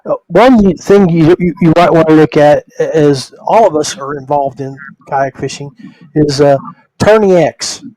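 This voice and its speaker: A man hosting a casual podcast conversation, speaking in a halting way and often repeating words. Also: fairly high pitch, warm tone, low-quality recording. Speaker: podcast host.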